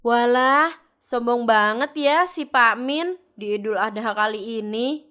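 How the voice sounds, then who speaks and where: A man's voice: very high voice, slow pace, pushed and bright, clean tone, voice pushed up, heavily acted. A man voicing a gossiping middle-aged village woman in a cartoon, with exclamations. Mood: gossipy.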